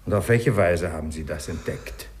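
A man's voice: sits low, moderate pace, a little mellow, natural voice. An actor playing an affable, self-assured male doctor in a 1950s radio play, courteous and probing. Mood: curious, probing.

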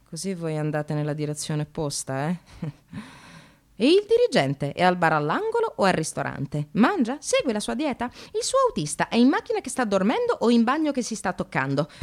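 An actress playing a resentful, frazzled receptionist in a theatrical radio monologue, volatile between clipped politeness and bitterness. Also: female voice, mid-range voice, huge pitch swings, normal speed. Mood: hushed, mocking. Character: frazzled, resentful female receptionist in precarious work, near breakdown.

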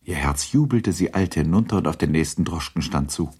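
A man's voice: a bass voice, expressive, fairly quick, a little mellow, breathy edge, exaggerated voice. A man narrating a literary radio drama in a composed, scripted storytelling style.

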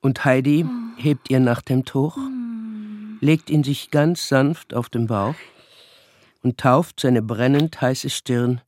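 A woman narrator telling a story calmly in a scripted radio play. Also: very deep voice, slow pace, slight background noise. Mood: matter-of-fact.

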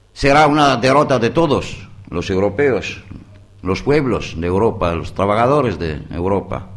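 A man with a Greek accent, a native Greek speaker, giving a speech at a political conference in slow, halting, improvised speech with frequent pauses, rising to emphatic bursts on key words. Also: mid-range voice.

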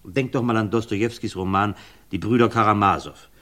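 An actor playing a doctor who lays out theories in a calm, explanatory way in an old radio play. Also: male voice, fairly low pitch, fairly quick, close to an everyday voice. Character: calm male doctor friend, probing theorist.

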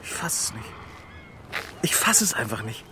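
A man's voice: medium pitch, very animated, moderate pace, pushed and bright, a little hiss or hum. An actor playing an outraged, disbelieving young man in a radio drama. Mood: shocked, outraged.

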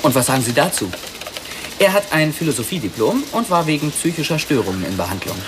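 An actor playing a police colleague who reports findings in a factual, conversational way in a radio drama. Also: male voice, on the high side, sing-song, fast talker, over music. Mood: eager, factual.

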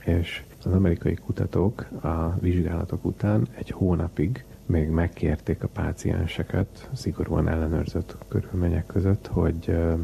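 A man's voice: low-pitched, vocal fry, warm tone. A man speaking as an interviewed researcher, explaining science in a detailed, methodical way.

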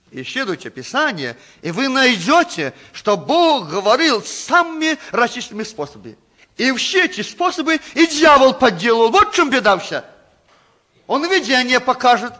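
A man preaches as a pastor, his voice very high and bright. His pitch swings widely as he talks. He hesitates often with fillers and turns to ask his listeners questions. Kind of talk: conversational sermon.

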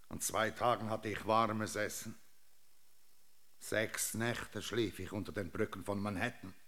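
A man's voice: lowish voice, fairly bright tone. An actor playing a defiant, self-seeking male European emigrant in a theatrical, solemn German radio drama. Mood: bleak, weary.

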